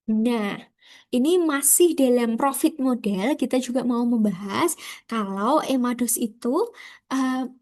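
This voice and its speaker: A woman with an Indonesian accent speaks in a fairly high voice. She presents like a student walking classmates through slides. Her delivery is conversational and informal, addressing listeners directly with rhetorical questions. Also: takes it slowly, darkish tone. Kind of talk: informal slide presentation explaining a business case.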